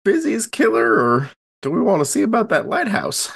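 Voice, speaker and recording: A man's voice: sits high, wildly swooping pitch, normal speed, vocal fry, fairly bright tone, breathy edge. A man thinking aloud in casual conversation as a player in a tabletop story game.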